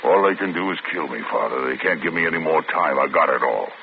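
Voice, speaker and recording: Deep, threatening convict; 1940s radio play, tinny broadcast with hiss. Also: male voice, quick pace. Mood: menacing. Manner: tough gravelly street talk, clipped threats. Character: hardened male convict, desperate and menacing.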